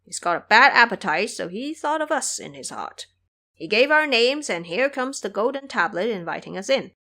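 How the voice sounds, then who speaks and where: A woman's voice: fairly high pitch, big pitch swings, moderate pace, sharp tone, full character voice. A woman playing a boastful, greedy, foolish pig-monster monk with a chatty manner in an audio drama. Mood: smug, excited. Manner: cocky, chatty.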